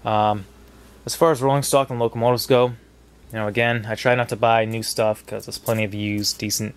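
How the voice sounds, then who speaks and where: A man's voice: on the low side, flat delivery, fairly quick, clear, resonant tone. A young man with a stuffy cold, casually narrating a hobby video in a basement, rambling with lots of fillers. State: congested from a cold. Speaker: model railroad hobbyist.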